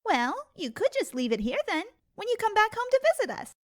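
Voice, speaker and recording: A woman playing a bubbly, affectionate pony mother in a dramatic fan fiction reading, with a gushing, excitable manner. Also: very high voice, fairly quick. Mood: cheerful.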